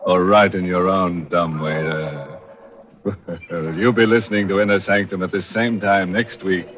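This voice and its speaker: A man playing a gleefully macabre, punning horror host on 1940s radio, with a mock-sinister, drawn-out delivery. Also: low-pitched, steady tone, slight background noise. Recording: dull vintage 1940s radio recording.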